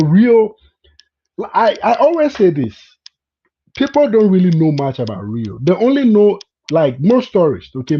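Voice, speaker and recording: A man from Ghana chatting live with viewers, repeating words and often asking if they understand. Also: fairly high pitch. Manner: keeps checking in with "you understand?". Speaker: vlogger hosting a live chat. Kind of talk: casual live-stream chat with viewers. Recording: dull lo-fi sound.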